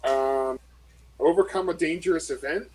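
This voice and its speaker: A man's voice: on the high side, normal speed, darkish tone, husky, soft background music, low-quality recording. A man playing in a tabletop role-playing game, thinking aloud hesitantly with many fillers. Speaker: player. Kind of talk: casual game chat.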